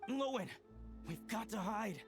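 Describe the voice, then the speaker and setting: A man's voice: high-pitched, animated, a little slow, over music. An actor playing an anxious, hesitant male experimenter in a video game, speaking in a worried, dramatic way. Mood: frustrated, anxious.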